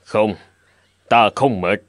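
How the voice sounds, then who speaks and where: A man's voice: fairly low pitch, takes it slowly, dry recording. A man playing a bored, weary middle-aged king in a children's cartoon, brooding in a regal manner. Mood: weary, discontented. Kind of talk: dubbed children's cartoon acting.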